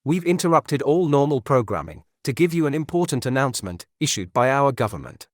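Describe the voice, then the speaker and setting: A man playing a grave emergency broadcast announcer, reading a scripted bulletin in a formal, measured way. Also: medium pitch, big pitch swings, no room sound, clean studio sound, natural voice.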